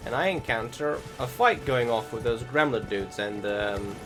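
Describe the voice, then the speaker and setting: Man, mid-pitched voice, casual gameplay commentary, rambling, self-questioning, loud music behind. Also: moderate pace. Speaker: video game commentator.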